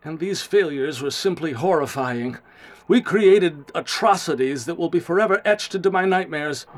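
A man acts out a haunted scientist in a radio drama, his voice pitched somewhat high. He speaks in formal, measured sentences, ominous and heavy with guilt. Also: lively intonation, natural voice. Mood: grave confession. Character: grave, guilt-ridden male scientist confessing catastrophe.

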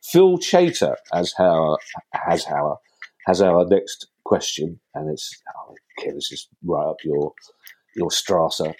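Man, stuffy nose, low husky voice, self-deprecating podcast host. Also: big pitch swings, takes it slowly, darkish tone, poor audio. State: bunged up from a cold. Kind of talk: casual podcast chat.

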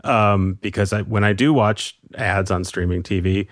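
A man's voice: lowish voice, fairly even intonation, moderate pace, no echo, studio quality. A man interviewing a guest with skeptical, conversational questions.

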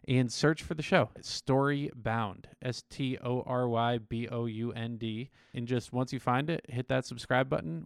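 A man hosts a podcast with a smooth, clear voice in a very dry recording. He talks informally and with upbeat enthusiasm, like someone giving listeners a casual announcement. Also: medium pitch, no echo. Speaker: podcast host.

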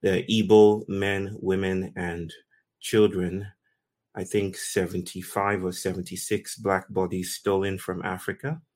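A man from Nigeria with a very low voice hosts a talk. He opens it by telling a story in slow, poetic phrases and leaves pauses between them. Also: level tone, a little mellow. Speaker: host.

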